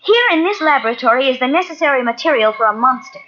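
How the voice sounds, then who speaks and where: High-voiced anxious young woman, noisy recording. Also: expressive, fast talker. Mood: urgent, earnest. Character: anxious, earnest daughter of a scientist. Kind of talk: old-time radio drama acting. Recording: noisy, dull old cassette recording of radio.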